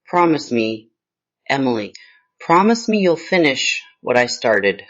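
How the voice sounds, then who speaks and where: A man's voice: medium pitch, big pitch swings, unhurried, fairly bright tone. A man playing a grave, fearful investigator mother in a screenplay reading. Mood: pleading, regretful. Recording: slightly dull, lo-fi sound.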